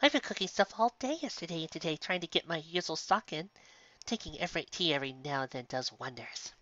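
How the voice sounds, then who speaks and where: A sweet, doting mare who keeps a sweets shop, as a woman puts her on, her bright voice swooping up high and down soft. She calls everyone dear in a kind, motherly storybook manner. The recording sounds slightly muffled and dry. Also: animated, brisk, no echo. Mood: soothing. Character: gentle motherly mare candy-shop owner.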